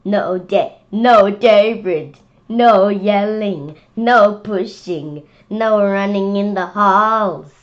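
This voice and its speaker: A young female reader voicing an exasperated, strict schoolteacher in a storybook, loud and commanding with drawn-out words. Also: mid-range voice, muffled recording. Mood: exasperated scolding. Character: exasperated, strict schoolteacher scolding a naughty boy.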